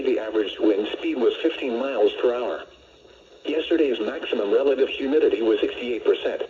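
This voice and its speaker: An American man's synthetic-sounding voice reading a scripted weather report as a radio announcer, measured and impersonal. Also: medium pitch. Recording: muffled lo-fi weather radio broadcast.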